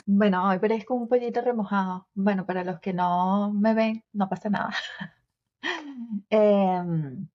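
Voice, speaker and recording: A woman's voice: mid-range voice, slow, pauses often. Woman, forties, Venezuelan Spanish accent, chatty podcast co-host, dull lo-fi recording. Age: 40s. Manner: chatty, with many fillers and restarts. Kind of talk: casual chat.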